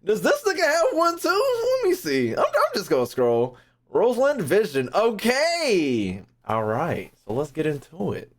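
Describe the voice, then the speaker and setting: A young man streaming his reactions to a game. He talks in a brash, slangy, sweary way and repeats phrases, with pitch that jumps around a lot. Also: huge pitch swings, measured pace. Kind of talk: casual live-stream commentary.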